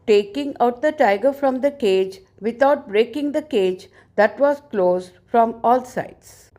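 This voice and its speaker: Slow, higher-pitched woman storyteller. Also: a little mellow. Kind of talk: scripted folk tale narration.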